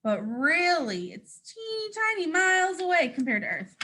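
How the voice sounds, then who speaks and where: A woman teacher reading aloud to young children, explaining things simply in an animated way. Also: very high voice, normal speed. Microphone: speaking to a hand-adjusted camera.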